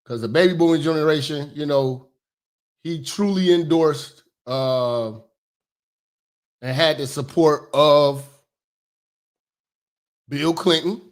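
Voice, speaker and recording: Man, commentary host, brash comic rant, raised pitch, shouting at times. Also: slow pace, pauses often. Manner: loud, profane, animated, often shouting.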